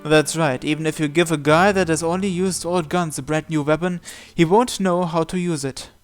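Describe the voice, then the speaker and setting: A man's voice: on the high side, steady tone, normal speed, soft background music. A man hosting a visual novel playthrough, reading the first-person narration aloud.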